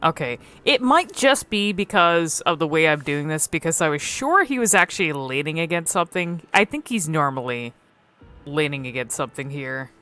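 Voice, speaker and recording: A woman giving casual, unscripted game commentary, thinking aloud haltingly and swearing freely. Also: low-pitched, lively intonation, bright, forceful tone, soft background music.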